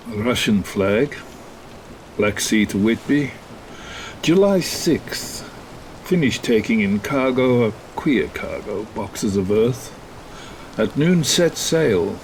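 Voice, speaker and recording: A man's voice: medium pitch, expressive, takes it slowly, a little mellow, slight background noise, poor audio. An actor playing a stern, weary sea captain reading his log in clipped entries for a horror radio drama. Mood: grim.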